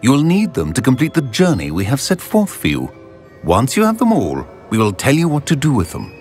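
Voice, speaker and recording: Stately old wizard professor. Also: male voice, medium pitch, wildly swooping pitch, darkish tone, soft background music. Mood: grave, instructive. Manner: formal, measured, authoritative. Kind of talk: video game cutscene acting.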